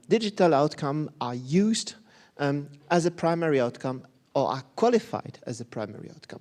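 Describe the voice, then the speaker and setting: A man from Belgium, a professor giving a candid, lively conference talk. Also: a little high. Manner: candid, self-deprecating, lively presenter.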